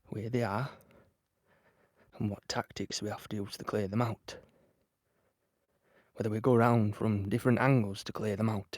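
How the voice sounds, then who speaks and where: An actor playing a plain-spoken, dutiful young British infantryman in an audio play, telling of the fighting in a halting, conversational way. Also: fairly low pitch, a little mellow, no echo, clean studio sound, close to an everyday voice. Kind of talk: dramatic monologue in an audio play.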